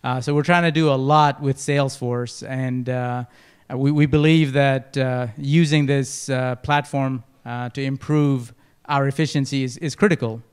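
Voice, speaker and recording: A man from Nepal pitches for a non-profit in an informal, hesitant manner. His voice is smooth and clear, at a medium pitch. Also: steady tone, measured pace, few pauses, darkish tone. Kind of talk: informal spoken presentation.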